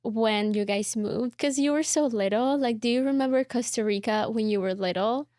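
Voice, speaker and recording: A woman hosting a podcast, reading a scripted introduction and then chatting softly and casually with her guest. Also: a little high, normal speed. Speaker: podcast host. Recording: music bed under the voice.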